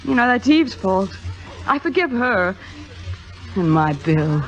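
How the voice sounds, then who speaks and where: Flamboyant middle-aged Broadway star, emphatic, playful radio-drama delivery, dull old recording. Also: female voice, mid-range voice, sing-song, slow pace. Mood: playful, exuberant. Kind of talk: 1950s radio drama acting.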